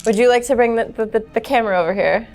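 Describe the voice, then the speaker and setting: A woman's voice: a little high, racing, a little mellow, a little hiss or hum. A woman playing a tabletop role-playing game, talking casually and informally with lots of "like". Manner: informal, frequent "like". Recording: music behind the voice. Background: music.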